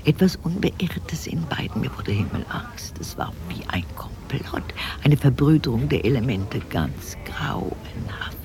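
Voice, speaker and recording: A scandalized, obsessive mother in a radio play monologue. Her voice is half-whispered and gravelly, with big swings in pitch. She halts and lingers on words with indignant distaste. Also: very animated, a little slow, few pauses, heavily acted. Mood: scandalized dread. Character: appalled, prudish mother obsessively recalling her daughter's scandals.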